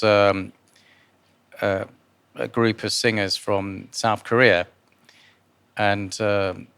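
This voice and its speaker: A man born in Guyana answers interview questions informally, in a halting way with repeated words. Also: on the low side, a bit bright, clear, resonant tone. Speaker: interviewee, philanthropist and investor.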